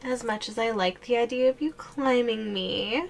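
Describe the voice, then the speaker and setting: A woman's voice: fairly high pitch, normal speed, vocal fry, a little hiss or hum. A woman playing a teasing, possessive giantess girlfriend in an ASMR audio roleplay, speaking playfully with hums and sighs.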